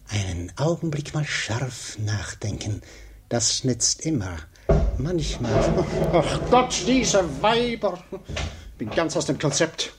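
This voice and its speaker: A puffed-up, anxious everyman in a 1950s radio play. His melody is very lively, leaping about as he talks himself into grand hopes and grumbles himself out again. The mid-pitched voice has a husky, unpolished edge. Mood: scheming, self-important, then flustered. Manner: muttering to himself, swinging between grand hopes and grumbling doubt. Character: pompous, fretful everyman daydreaming aloud of riches and status.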